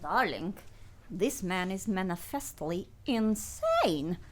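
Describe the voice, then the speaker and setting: A woman's voice: medium pitch, sing-song, takes it slowly. A woman acting a dramatic kept mistress in a radio play, with stilted, formal phrasing. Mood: indignant. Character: dramatic, kept mistress with foreign formal speech, "Dragon Lady".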